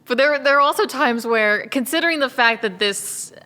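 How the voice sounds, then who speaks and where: A woman chatting casually about a creative production as a panelist, with an animated, giggly manner and little acted-out asides. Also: high voice, moderate pace, big-room sound. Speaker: voice actor and podcast panelist. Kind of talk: casual behind-the-scenes production chat.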